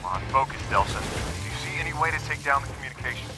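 A man's voice: mid-range voice, lively intonation, fast, music in the background, lightly acted. A man acting in a video game as a cautious, protective ally who gives earnest, urgent instructions by radio. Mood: focused.

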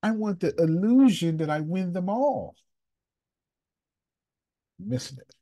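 A man hosting a casual coaching call over video, animated and emphatic, with slangy, repetitive phrasing. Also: high voice, takes it slowly. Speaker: business coach and host.

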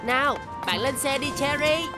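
A woman voicing a kind, earnest young talking bus in a children's cartoon dub, speaking gently and politely. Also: high-pitched, animated, moderate pace. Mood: warm, reassuring. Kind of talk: dubbed children's cartoon acting. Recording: loud music behind the voice.

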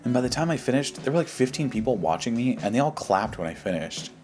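A man's voice: medium pitch, big pitch swings, fast talker, a little mellow. An American man doing casual reaction commentary, reading aloud and responding in a slangy, mocking, dismissive way. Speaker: YouTube commentary host. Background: background music.

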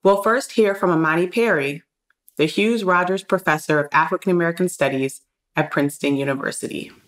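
A woman's voice: on the low side, fairly quick. A woman host reading scripted narration for a discussion series. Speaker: podcast host.

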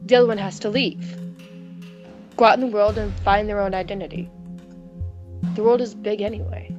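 A playful, excitable woman co-hosting a let's play, reading game dialogue aloud and bantering casually. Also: mid-range voice, normal speed, dull audio. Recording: clear music behind the voice.

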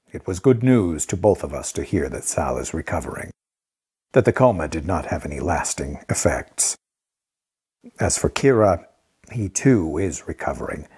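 An actor playing a guarded, haughty guardian who speaks formally and curtly in an audio drama. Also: male voice, low voice, a little mellow, slightly rough, exaggerated voice. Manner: formal, curt, dry.

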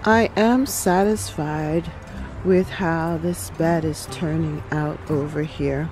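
A woman hosting a relaxed garden tour outdoors, talking reflectively with many pauses and ums. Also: sits low, over music. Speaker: garden channel host. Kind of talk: casual garden tour vlog.

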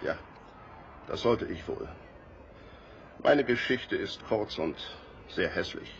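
An actor playing an ashamed, long-marooned former mutineer sailor in a radio play, halting and subdued. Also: male voice, sits low, lively intonation, dull audio, slight background noise, exaggerated voice. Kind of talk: German radio play acting.

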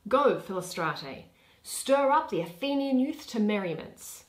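A woman voicing a stately, commanding duke in Shakespearean verse, with a measured, declamatory delivery. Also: on the high side, very animated, roomy sound. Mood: commanding, grand. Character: stately duke, eager bridegroom and stern judge.

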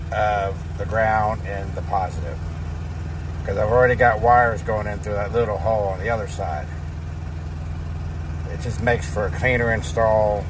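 A middle-aged American man giving a casual hands-on tutorial as a trucker. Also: fairly low pitch. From United States. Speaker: trucker and channel host. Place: inside a semi truck cab. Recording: noisy truck cab with engine hum.

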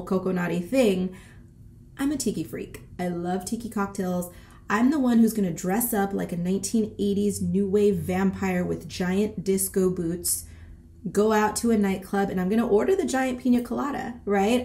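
A woman in her thirties chatting casually in a sassy vlog style, congested and a bit short of breath from a cold. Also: mid-range voice. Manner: sassy, animated, peppered with slang and swearing. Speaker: boutique owner and vlogger. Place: small decorated room indoors.

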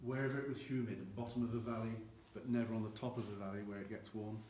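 Older guy explaining barrels on a tour, lowish voice, echoey warehouse with faint hiss. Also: steady tone, quick pace. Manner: explanatory, gestures with both hands while talking. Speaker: cognac house guide. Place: large dim barrel warehouse with earthen floor.

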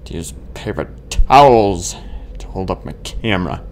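A young man with a low voice that swings widely in pitch. He mutters casual, lightly profane commentary as he plays, over clear background noise. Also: lively intonation, moderate pace. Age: young adult. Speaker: let's play host.

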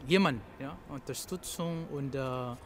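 Halting Filipino-accented man, noisy background. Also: mid-range voice. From Philippines. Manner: halting, searching for words with many fillers. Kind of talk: interview answers. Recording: noticeable background noise.

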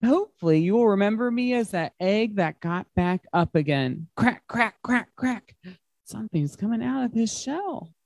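A man's voice: high voice, animated, moderate pace, gravelly, a little mellow, no echo, studio quality. A man reading a picture book aloud to children as a guest reader, expressively, with simple emphatic phrasing. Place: large glass-walled library room.

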